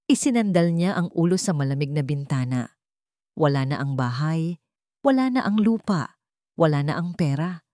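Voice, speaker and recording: A woman's voice: low voice, a little mellow, dry recording, clean studio sound. A woman reading a dramatic love story as an audiobook narrator.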